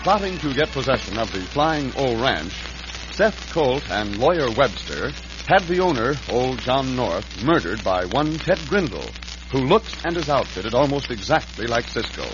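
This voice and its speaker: A man's voice: mid-range voice, very animated, moderate pace. An American man narrating a western serial as a dramatic old-time radio announcer. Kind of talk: dramatic 1940s-50s radio scripted narration. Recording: muffled vintage radio broadcast. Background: music.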